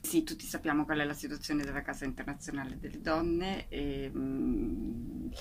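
Low-voiced woman, emphatic, noisy background. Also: normal speed. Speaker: actress presenting a show. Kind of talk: conversational interview.

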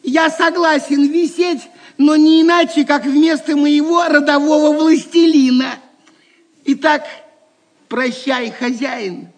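A wry jester in monk's guise speaks in a strikingly high voice with a creaky edge. He takes his time over each phrase, mock-solemn and playful, as a devoted fool in an audio play. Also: steady tone, slow, full character voice. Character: wry, loyal male jester disguised as a poor monk. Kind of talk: audio drama acting.

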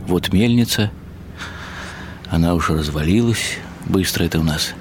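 An actor playing a world-weary writer who narrates a literary radio drama in a wry, ironic, musing way. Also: male voice, sits low, a little slow, background noise. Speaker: narrator and lead character.

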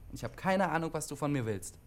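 A man's voice: on the high side, lively intonation, fast, slight background noise, natural voice. An actor playing a withdrawn, literal-minded CB radio operator in his twenties, matter-of-fact and pedantic, in a naturalistic radio drama. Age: 20s.

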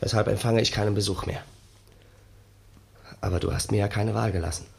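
An actor playing a haunted, bitter young ex-soldier, telling his story wearily and dramatically in a gravelly, husky voice for a 1960s German radio play. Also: fairly low pitch, steady tone, a little fast, a little mellow, full character voice. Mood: bitter, agitated.

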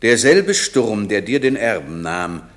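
An actor playing a grave, commanding wronged duke and magician in a classic radio play, speaking verse in a solemn, measured way. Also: male voice, fairly low pitch, big pitch swings, a bit bright. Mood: grave, promising.

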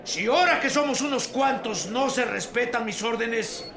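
High-voiced, indignant revolutionary chief. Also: male voice, normal speed, creaky. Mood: firm, disappointed. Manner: pleading, formal, emphatic oratory. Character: earnest, indignant revolutionary chief protesting his men's abuses. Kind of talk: radio drama acting. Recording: echoey room with crowd noise.

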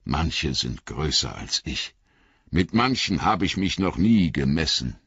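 A man puts on an old wizard, grave and gentle, in a storybook reading. The voice is deep, husky and unhurried, yet it rises and falls widely. The recording sounds a little dull and lo-fi. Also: big pitch swings, no room sound, exaggerated voice. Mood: grave, kindly.

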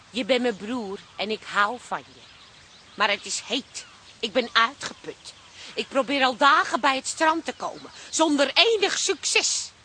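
An actress playing a bossy, exasperated but warm-hearted sister in a radio play, blunt and weary. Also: female voice, a little high, a little hiss or hum. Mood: exasperated pleading.

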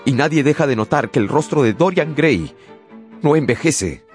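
A man's voice: on the high side, lively intonation, moderate pace. A man narrating a literary radio drama in a scripted, dramatic storytelling style. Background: music.

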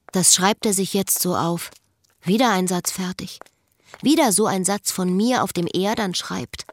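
A woman's voice: fairly low pitch, no echo. A woman acting a disillusioned, wry woman's introspective monologue in a literary radio drama.

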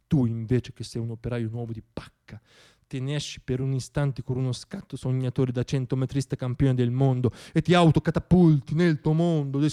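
A man performing a theatrical narrative monologue, with a dramatic, rhythmic storyteller's delivery. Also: a little high.

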